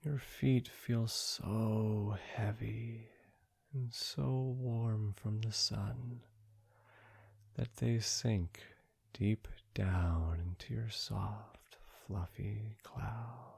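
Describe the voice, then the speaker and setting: A man guides a sleep meditation extremely slowly, leaving long pauses between short, soothing phrases. His voice is low and husky, gentle and hushed, often dropping toward a whisper. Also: steady tone. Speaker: meditation guide, podcast host.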